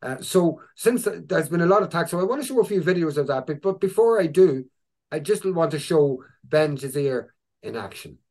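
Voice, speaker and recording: A man's voice: fairly high pitch, animated, normal speed, darkish tone. A middle-aged man with an Irish accent, co-hosting a video call and giving opinionated commentary on news clips, with frequent restarts and fillers. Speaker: co-host presenting news clips. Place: indoor room with a virtual backdrop. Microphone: video call webcam.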